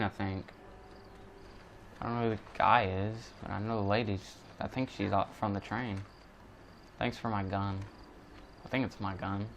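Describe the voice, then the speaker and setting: A man's voice: lowish voice, a little hiss or hum. A man giving casual, joking, off-the-cuff commentary as he hosts a gaming video.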